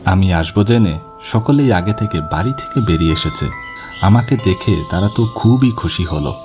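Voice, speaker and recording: A man's voice: low voice, expressive, normal speed. A man reading scripted first-person ghost story narration for an animation. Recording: music bed behind the voice. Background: music.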